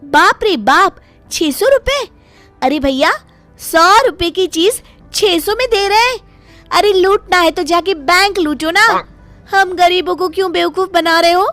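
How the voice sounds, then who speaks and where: A woman voicing a feisty, indignant young wife haggling in an animated story. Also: very high voice, a little slow, dry recording, faint music behind, pitched above the performer's own voice. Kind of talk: animated moral story dialogue.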